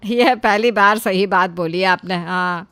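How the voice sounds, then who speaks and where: An elderly grandmother voiced by a woman for an animated family tale. She is doting and fond, yet bossy as she hands out instructions. The recording is dry. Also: medium pitch, a little slow, clean tone, no room sound, voice pushed down, close to an everyday voice.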